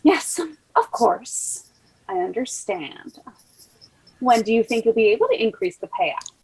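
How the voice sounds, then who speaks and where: A spoiled, money-hungry niece, acted by a woman in a high voice. She is gushing and takes her time over the lines, in the scripted style of a musical table read. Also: sing-song, a little slow, darkish tone, breathy edge, heavily acted, rough recording. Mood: wheedling, impatient. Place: recorded online.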